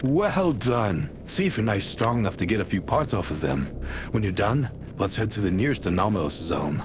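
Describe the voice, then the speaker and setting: Low-voiced folksy stalker mentor. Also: male voice, sing-song, moderate pace, background noise. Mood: helpful, instructive. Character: seasoned friendly male village deputy training rookies. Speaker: tutorial guide. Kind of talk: video game character dialogue. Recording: loud music under the voice. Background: loud music.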